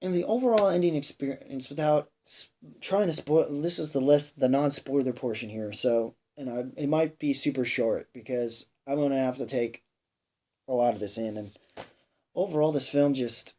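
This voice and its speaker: A man giving a casual, unscripted movie review, rambling and halting, repeating himself emphatically and sounding a bit tired. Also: medium pitch, a little mellow. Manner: sweary, emphatic. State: tired, sighing.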